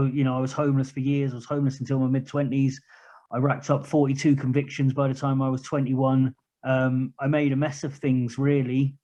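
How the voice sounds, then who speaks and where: A man's voice: mid-range voice, darkish tone. Candid man with a southern English accent. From England. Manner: candid, plain-spoken, rambling. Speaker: podcast guest, charity project manager. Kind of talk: casual interview talk. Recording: muffled lo-fi recording.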